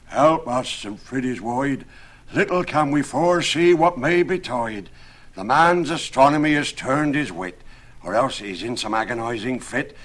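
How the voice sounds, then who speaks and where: Man acting a loud, fretful, credulous carpenter. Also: medium pitch, lively intonation, a little slow, voice pushed up. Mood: alarmed, bossy. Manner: exclamatory, oath-swearing, loud. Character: pious, credulous working-class carpenter, alarmed and fretful. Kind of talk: dramatised verse storytelling.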